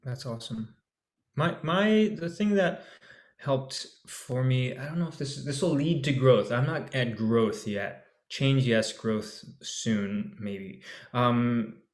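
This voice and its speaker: A man's voice: mid-range voice, slow pace. A man in his forties, an actor on a discussion panel, talking casually and searching for his words with many restarts. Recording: echoey room.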